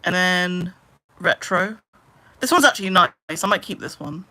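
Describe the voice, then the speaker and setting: A woman in her late twenties chatting casually on a live stream, rambling with lots of "like" and trailing into low mutters. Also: expressive, moderate pace, pushed and bright. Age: 20s. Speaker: livestreamer.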